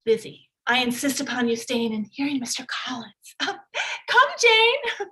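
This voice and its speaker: A woman performs a fluttery middle-aged mother in a period drama. Her high voice leaps up and down as she fusses, gushes and bosses her family around. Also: very animated, unhurried, bright, forceful tone, heavily acted. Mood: insistent. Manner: gushing, fussy, bossy. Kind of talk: staged reading of a period drama.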